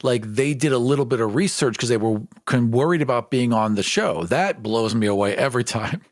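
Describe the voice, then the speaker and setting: A man's voice: medium pitch, expressive, a little fast, no room sound, studio quality. A man chatting casually as a podcast co-host, giving emphatic, appreciative commentary.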